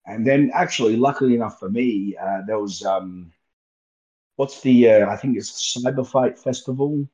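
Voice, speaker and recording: A young Australian man, a guest wrestler, talking in a relaxed, rambling way and laughing easily, heard over a remote call. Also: mid-range voice, moderate pace. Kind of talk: casual interview chat. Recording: muffled lo-fi call audio.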